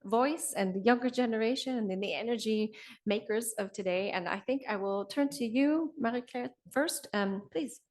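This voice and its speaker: A woman moderating a roundtable in a conference room, friendly and quick to laugh. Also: a little high.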